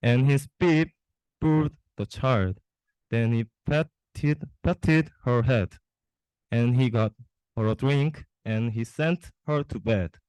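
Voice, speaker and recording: A young man reads a children's story aloud. His pace is slow and his pitch sits in the middle, with very little room sound around him. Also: a little mellow, smooth, no room sound, low-quality recording. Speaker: storybook reader.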